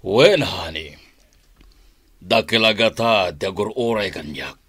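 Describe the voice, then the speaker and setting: Male crime boss, Ilocano accent, radio drama, boastful, domineering, animated pitch, dry close sound. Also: takes it slowly, slightly rough, no echo, exaggerated voice. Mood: scheming, satisfied. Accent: Northern Philippine (Ilocano). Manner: domineering, emphatic.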